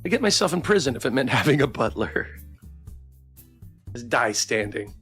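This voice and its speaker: Bright forceful male voice, casual game streamer, reading aloud, off-hand commentary, faint music behind. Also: mid-range voice, sing-song, normal speed.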